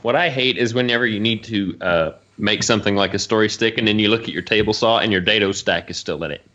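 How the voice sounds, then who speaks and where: A man chatting casually as a podcast co-host, telling stories in an animated way. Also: on the low side, fast talker, muffled recording. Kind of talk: casual chat.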